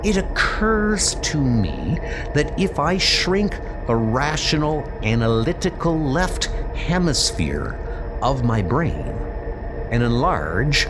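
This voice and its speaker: A man voicing a grave, analytical male alien telepath superhero in a comic book dub, measured and formal. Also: medium pitch.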